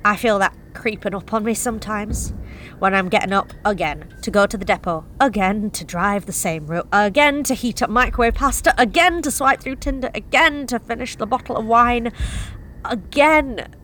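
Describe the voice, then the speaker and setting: A woman's voice: a little high, normal speed, fairly bright tone, slight background noise. A young woman reading a first-person horror story in a wry, confessional, conversational way. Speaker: narrator.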